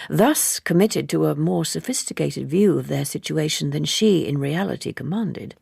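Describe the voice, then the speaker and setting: A woman narrating literary fiction as an audiobook, measured and wryly understated in her reading. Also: deep voice, creaky, darkish tone, slightly rough, no room sound, clean studio sound.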